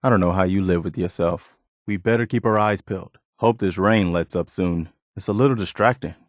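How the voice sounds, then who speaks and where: Man acting as dutiful militia soldier, game companion, fairly deep voice, earnest, plain-spoken, principled, dry studio sound. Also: brisk, dry recording, lightly acted. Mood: stern, disapproving, then tender, sincere. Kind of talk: video game companion voice lines.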